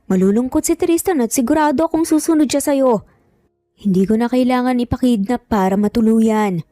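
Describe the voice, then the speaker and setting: A woman's voice: high-pitched, mellow, dry recording, voice pushed up. Woman voicing a taunting male kidnapper, dry recording. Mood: gloating menace. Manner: taunting, menacing. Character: gloating, vengeful middle-aged male kidnapper, uncle villain.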